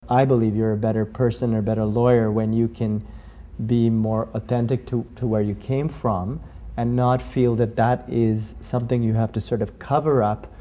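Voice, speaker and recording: Mellow-voiced reflective male lawyer. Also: medium pitch, flat delivery, normal speed. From Canada. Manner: thoughtful, repeats words as he searches for phrasing. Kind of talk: reflective interview answer.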